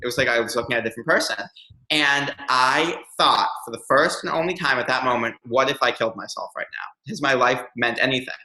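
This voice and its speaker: Interview guest: young man, earnest and reflective, crisp bright voice, roomy echo. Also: mid-range voice, fast talker. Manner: earnest, reflective, many ums. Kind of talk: candid personal storytelling.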